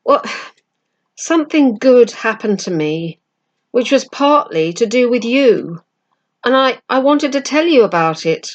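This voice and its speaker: A woman's voice: a little high, lively intonation, unhurried, pitched above the performer's own voice. Woman reading as an earnest, hesitant schoolboy. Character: earnest, gentle schoolboy, thoughtful and apologetic.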